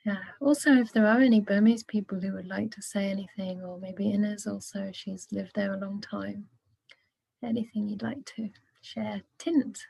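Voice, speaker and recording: A woman, a Buddhist nun, leading a relaxed online question-and-answer session over a video call, speaking softly with gentle laughs. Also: mid-range voice, normal speed, vocal fry, breathy edge, dry recording, rough recording. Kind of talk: casual online Q&A. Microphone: video call microphone.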